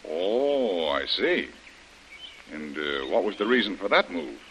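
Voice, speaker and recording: A calm adventurer hero in an old radio serial. His low voice moves expressively through polite, probing questions, with faint noise on the recording. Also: takes it slowly, creaky, full character voice. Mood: inquiring. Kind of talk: 1930s radio acting.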